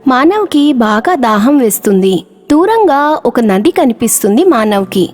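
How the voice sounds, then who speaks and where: A woman narrating a children's cartoon story in a measured storytelling manner. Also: a little high, sing-song, darkish tone.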